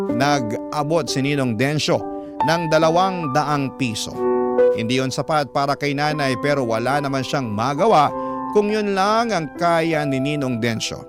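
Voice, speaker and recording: A man with a Filipino accent narrates a scripted first-person story in radio drama style. His voice is medium in pitch, and a loud instrumental music bed plays beneath it.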